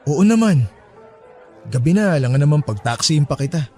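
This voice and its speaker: Actor as young male office worker, radio drama, higher-pitched, teasing, coaxing, music and crowd behind. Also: lively intonation, a little mellow, natural voice. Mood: warm, earnest. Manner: teasing, coaxing, hesitant pauses. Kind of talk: radio drama acting, conversational Tagalog.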